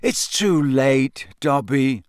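A man's voice: high voice, sing-song, moderate pace, no echo, clean studio sound, pitched above the performer's own voice, full character voice. A man voicing an anxious, bewildered boy wizard in an audiobook, breathless and incredulous. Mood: stunned, hopeless. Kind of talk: audiobook character acting.

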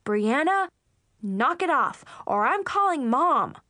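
A woman's voice: high-pitched, lively intonation, measured pace, sharp tone, no room sound, studio quality, voice pushed up, heavily acted. A woman voicing a dramatic, whiny teenage girl in a story, easily exasperated and protesting.

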